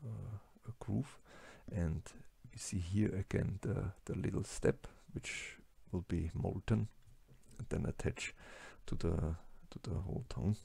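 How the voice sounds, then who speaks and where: A man with a German-speaking accent giving a slide lecture, halting with many fillers and restarts. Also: sits low, vocal fry, warm tone, husky. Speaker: lecturer on plastics engineering.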